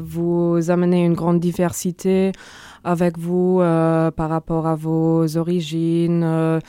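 A woman hosts a studio podcast in a low, unhurried voice. Her tone is smooth and clear, with a dry, close sound. She hesitates often and fills her pauses. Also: warm tone, no room sound. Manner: hesitant, many filler pauses. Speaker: host, social worker and youth educator. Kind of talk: podcast hosting.

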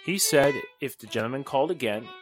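Man playing an earnest friend, lively delivery. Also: medium pitch, fast, close to an everyday voice. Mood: puzzled concern. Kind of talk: radio drama acting in 1940s manner. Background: violin music.

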